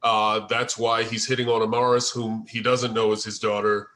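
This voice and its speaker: A man leading a discussion of a script on a video call, explaining in a deliberate way. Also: lowish voice, little pitch movement, a bit bright. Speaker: playwright leading a table-read feedback session. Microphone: video call microphone.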